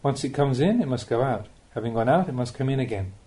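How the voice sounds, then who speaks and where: A man, a Buddhist monk, reading a scripted spiritual teaching as an audiobook, with measured, deliberate phrasing and rhetorical questions. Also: mid-range voice, expressive, dark tone.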